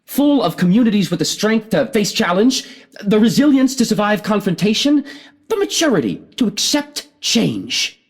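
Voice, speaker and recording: An actor playing a proud, defensive big-city mayor in an audio drama, emphatic and speechifying. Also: male voice, sits high, very animated, moderate pace, slightly rough, full character voice. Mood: rousing, proud.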